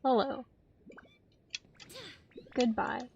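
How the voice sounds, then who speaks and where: Slow-paced woman streamer, dull mic. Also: a little high, steady tone, a little mellow. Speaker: game streamer. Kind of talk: casual live game commentary. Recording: dull lo-fi mic, faint noise, clicks.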